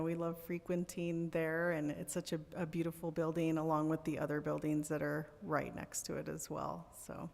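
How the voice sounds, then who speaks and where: A woman's voice: on the low side, vocal fry, darkish tone. A woman applying for a volunteer board, answering interview questions hesitantly with many ums and restarts. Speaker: board applicant. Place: city council chamber. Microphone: podium mic. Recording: echoey council chamber.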